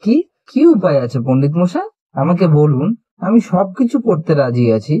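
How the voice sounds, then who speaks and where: A man's voice: on the high side, big pitch swings, moderate pace, mellow, slightly rough, no room sound. A man voicing a grieving, anxious father in a cartoon, lamenting and imploring, in a dull recording. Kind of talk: cartoon story voice acting.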